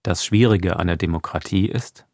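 A man's voice: deep voice, normal speed, creaky, darkish tone, dry recording, studio quality. A man hosting a radio talk show, moderating an interview and reading out short prompts. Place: dry radio studio.

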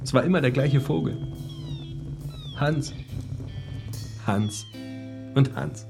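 A man's voice: mid-range voice, slow, gravelly, music in the background. An actor playing a brooding, intense male narrator of a prisoner's inner life in a literary radio drama. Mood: brooding, hushed.

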